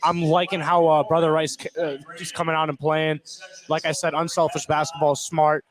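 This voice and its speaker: A man's voice: fairly high pitch, little pitch movement, moderate pace. A man giving casual sports analysis as a color commentator.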